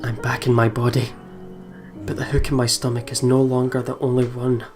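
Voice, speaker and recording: A let's play host reading a horror visual novel aloud and commenting casually, with excitable, jumpy reactions. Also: medium pitch, brisk. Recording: music behind the voice. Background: game music.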